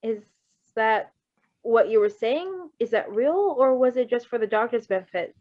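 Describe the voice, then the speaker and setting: A woman's voice: fairly high pitch, expressive, moderate pace, muffled recording, close to an everyday voice. A woman voicing a wary, sardonic teenage boy thief with a hesitant, slangy, offhand way of talking in a story reading.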